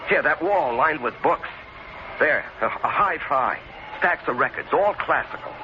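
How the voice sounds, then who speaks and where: Radio thriller husband: cautious, sarcastic, wide pitch swings, dull noisy old recording. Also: male voice, lively intonation, measured pace. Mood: uneasy. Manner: sarcastic, hesitant. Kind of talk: old-time radio drama acting.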